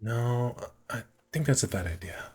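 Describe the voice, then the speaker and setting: A man's voice: medium pitch, lively intonation, a little slow, a little mellow, slightly rough, faint music behind. A man casually streaming a visual novel, reading the narration aloud and correcting himself with muttered asides.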